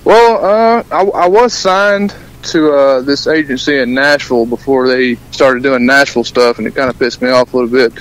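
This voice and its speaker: A man, a guest calling into a radio show, talking casually with frequent laughs and halting restarts. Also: mid-range voice, rough recording. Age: 20s. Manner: laid-back, laughs often, drawling restarts. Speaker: guest musician, soldier. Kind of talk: casual phone-in interview. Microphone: phone call.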